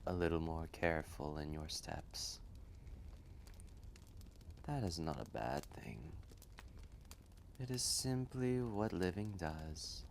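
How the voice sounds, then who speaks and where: A man voicing an ancient, gentle, wise male dragon in a roleplay story, speaking in a hushed, measured, often breathy voice with a kindly manner. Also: a little hiss or hum, full character voice. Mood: tender, reflective. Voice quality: low, gravelly, often breathy and whispery.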